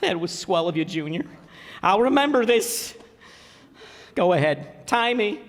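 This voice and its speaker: A man performs a blustering, good-hearted but cowardly father for old-time radio comedy. His folksy, ungrammatical speech swoops widely in pitch. The stage recording rings with the hall. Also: animated, a little slow. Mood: grudging. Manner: folksy ungrammatical speech, stammers when scared.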